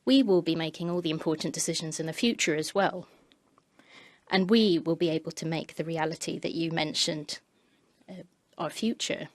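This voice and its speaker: A woman giving a simultaneous interpretation of a committee speech, steady and fluent. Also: sits low, normal speed, no room sound. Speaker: parliamentary interpreter.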